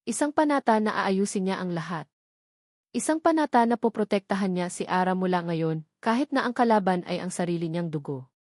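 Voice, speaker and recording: Woman reading a dramatic romance audiobook, mid pitch voice, no room echo. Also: a little mellow, clean studio sound. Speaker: audiobook narrator. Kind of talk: dramatic scripted narration of a romance story.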